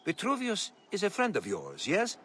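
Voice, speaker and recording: Animated, earnest ancient magistrate. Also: male voice, sits high, normal speed, a little hiss or hum, full character voice. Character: earnest, eager male magistrate of an ancient city. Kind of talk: video game cutscene acting.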